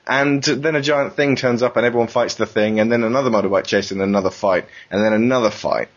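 A man's voice: mid-range voice, steady tone, fast, highs cut off. A man in a casual podcast discussion, talking in a wry, rambling, sarcastic way. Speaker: podcast panelist.

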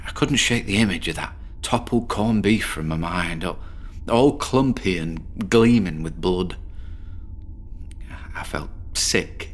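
A morbid British loner from a shabby bedsit, confessing in a horror audio drama. His voice is low, and he rambles wearily and hesitantly, full of self-deprecation. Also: vocal fry, fairly bright tone, exaggerated voice. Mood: haunted, brooding.

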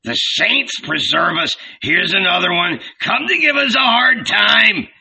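A man voicing an irascible, scoffing old village patriarch in a dramatic audiobook, loud and exclamatory with a raspy voice. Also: very high-pitched, expressive, measured pace, voice pushed up, full character voice, low-quality recording. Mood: angry, scornful. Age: older. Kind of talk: dramatic audiobook character reading.

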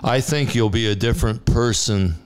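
A man's voice: medium pitch, moderate pace, smooth. A man speaking casually as a singer-songwriter in an interview, telling anecdotes in a rambling, self-assured way.

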